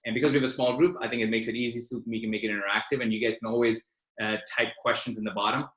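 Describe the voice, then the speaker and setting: A man's voice: medium pitch, fairly even intonation, very fast, fairly bright tone, echoey room. A man from Pakistan hosting a career webinar for students, talking informally and directly with plenty of fillers. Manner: informal and direct, lots of fillers, addresses listeners as "you guys". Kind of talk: casual, interactive online presentation.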